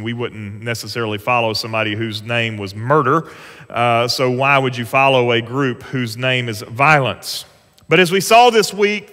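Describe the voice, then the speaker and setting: A pastor, preaching an earnest, conversational sermon from a church stage. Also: male voice, mid-range voice, pushed and bright. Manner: earnest, conversational preaching with rising emphasis on scripture. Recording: long church hall reverb.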